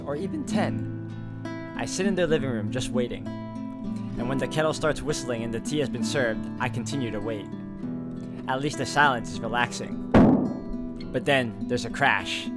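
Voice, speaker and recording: Game streamer, mid-pitched man, reads a story and talks through choices over loud music. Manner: thinks aloud with hesitations and asides. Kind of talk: narrating and commenting on a visual novel.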